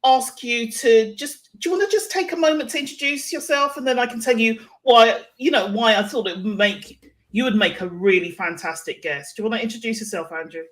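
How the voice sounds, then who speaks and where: A woman's voice: on the high side, a little fast. Chatty woman hosting a live show. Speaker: show host.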